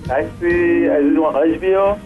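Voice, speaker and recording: A man's voice: a little high, moderate pace. A man, a cab driver, answering hesitantly on a radio clip. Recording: tinny radio clip, faint music behind.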